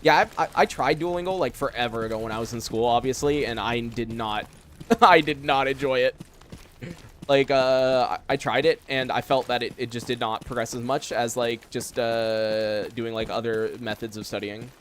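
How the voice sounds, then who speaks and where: A man's voice: mid-range voice, fairly even intonation, normal speed, a bit bright, slight background noise. A young man streaming a game, talking casually and rambling with lots of fillers as he plays and answers chat from a room at home. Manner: rambling, filler-heavy, chatty. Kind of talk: casual live gameplay chat.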